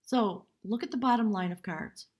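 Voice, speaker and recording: A woman with a hoarse voice giving a live spiritual tarot reading in measured, reverent short phrases. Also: mid-range voice. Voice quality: husky, breathy. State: hoarse from much talking. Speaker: tarot reader, live stream host.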